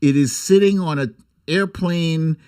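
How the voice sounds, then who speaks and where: A man hosting a comic political livestream, speaking with sarcastic, irreverent bite and theatrical, drawn-out emphasis. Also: on the high side, a little mellow, clear, resonant tone. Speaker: host of a political humour livestream. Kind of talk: comic political commentary and mock storytime reading.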